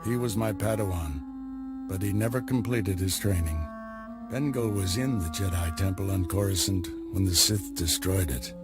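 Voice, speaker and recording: Brief: a man as a grave, commanding Jedi mentor, low voice, measured delivery, game music. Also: natural voice. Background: game score music.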